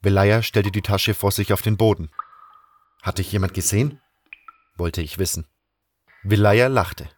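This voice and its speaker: A man's voice: on the low side, unhurried, pauses often. A man playing an earnest, brooding adventurer who tells his own story in a fan audio drama. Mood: downcast. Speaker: narrator and lead actor in a fan audio drama. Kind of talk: first-person dramatic narration and dialogue.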